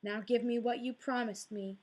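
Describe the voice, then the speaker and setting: A tiny, mysterious little man from a storybook asks his price in soft, wheedling tones, as a woman reading aloud puts him on. The voice sits fairly high and stays gentle and close. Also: fairly even intonation, moderate pace, lightly acted. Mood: bargaining.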